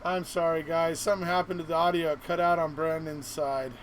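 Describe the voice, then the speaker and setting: Higher-voiced man hosting, background noise. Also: flat delivery, brisk. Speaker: live stream host. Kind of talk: casual live chat.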